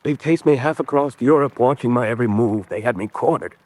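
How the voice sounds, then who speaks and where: An actor playing a desperate, hunted American spy in a radio drama, pleading with urgent intensity. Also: male voice, mid-range voice, a little fast, a little mellow, no room sound, lightly acted. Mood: haunted.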